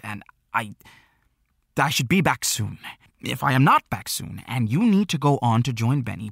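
Storybook older male shopkeeper, played by a man: earnest, secretive, quaint phrasing, creaky grain. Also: medium pitch, a bit bright, no echo, studio quality, full character voice. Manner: quaint phrasing with tagged-on repeats.

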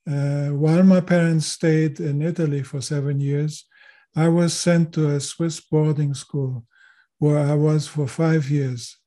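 A man in his seventies from Chile, a native German speaker, giving measured interview answers as a guest. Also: a little high, flat delivery, dark tone. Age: 70s. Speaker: GIS consultant and lecturer, interview guest.

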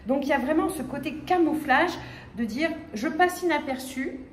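A woman in her fifties from the Paris region, giving a lively conference talk with many fillers and restarts, gesturing as she speaks. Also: sits high, normal speed, slight background noise. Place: small lecture room with projector screen. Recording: echoey room.

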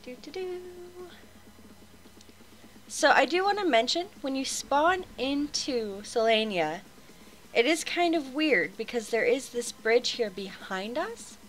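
A woman's voice: high voice, expressive, slow pace, a bit bright, a little hiss or hum. A young woman with a head cold and a slightly nasal voice, casually chatting through a game walkthrough as its host, upbeat and laughing often.

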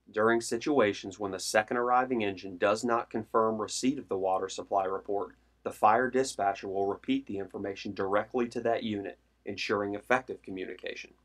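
Male narrator, low register, formal and measured, for scripted training material.

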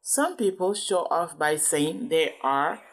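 Female read-aloud teacher, lower register, patient and explanatory, checks understanding often. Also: takes it slowly. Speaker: adult reading a book with a child.

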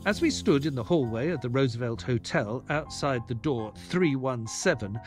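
A man playing a detective's loyal assistant who narrates an audio drama in a measured storytelling manner. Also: mid-range voice, animated, music in the background, lightly acted. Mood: suspenseful storytelling.

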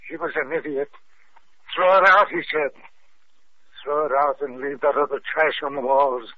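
Actor as an agitated, high-voiced obsessive man, old radio. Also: steady tone, moderate pace, no echo, close to an everyday voice. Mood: desperate, bitter. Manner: agitated, threatening. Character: unhinged man named Timothy, obsessed with a painting. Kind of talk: 1940s radio detective drama acting. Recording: muffled old radio broadcast recording.

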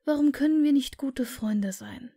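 A woman reading the part of a cheerful, warm-hearted young man who speaks earnestly and pleadingly. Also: high-pitched, normal speed, voice pushed up, close to an everyday voice.

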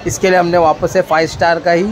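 A man's voice: a little high, flat delivery, fast talker. A young man narrating a casual vlog, chatting about traffic and the crowds while he shops. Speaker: vlogger. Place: car in traffic, then crowded shopping mall. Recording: loud crowd and street noise.

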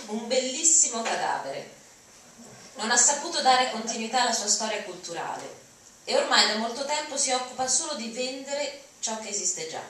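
Very echoey hall, woman reading out prose dramatically, sharp clear-cut voice, far from mic. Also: on the high side, a little hiss or hum. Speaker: reader of literary texts. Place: auditorium, at a lectern before an audience. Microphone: lectern mic, recorded from far camera.

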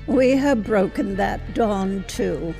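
A woman's voice: medium pitch, takes it slowly, gravelly, mellow, faint music behind. A woman playing a haughty, scornful socialite villainess in a sci-fi radio comedy, languid and grand. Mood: disdainful, languid.

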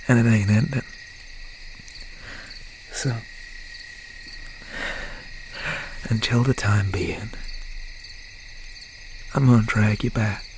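A man playing an obsessed, bitter young serial killer boyfriend in an ASMR roleplay, speaking with breathy, half-whispered menace and pauses. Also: on the low side, a little hiss or hum. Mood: controlling, threatening. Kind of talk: ASMR roleplay, dramatic monologue. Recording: steady nighttime ambience over the voice. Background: crickets, insects and owl night sounds.

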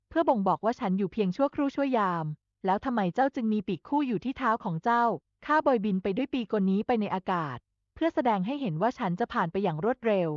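Woman storyteller, higher-pitched voice. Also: dry recording, muffled recording. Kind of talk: scripted storytelling narration.

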